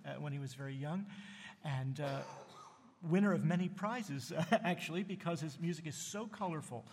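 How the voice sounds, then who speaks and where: A man from New Jersey introducing pieces at a concert, talking haltingly with many fillers and restarts, and enthusiastic about the music. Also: fairly high pitch. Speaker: concert host. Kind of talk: casual spoken introduction between concert pieces. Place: concert hall with audience. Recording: long hall reverb.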